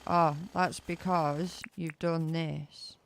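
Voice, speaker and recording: A woman's voice: low voice, steady tone, takes it slowly, creaky, slight background noise. A young woman from England with a mixed northern and southern English accent, chatting casually while she plays a game, rambling with lots of filler words. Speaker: gaming streamer. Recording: loud background music.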